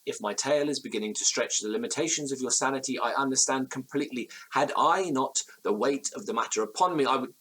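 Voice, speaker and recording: An actor playing an anxious old-fashioned gentleman, recounting a ghostly ordeal in formal, florid, theatrical language for a Gothic audio drama. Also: mid-range voice, quick pace, hardly any pauses, husky, low-quality recording. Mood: grave, courteous.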